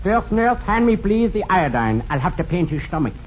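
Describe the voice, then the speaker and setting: An actor playing a zany, boastful, incompetent surgeon in 1950s radio comedy, theatrical and mock-pompous, with old-fashioned inverted phrasing. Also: male voice, high-pitched, sing-song, moderate pace. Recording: noisy, muffled 1950s radio recording.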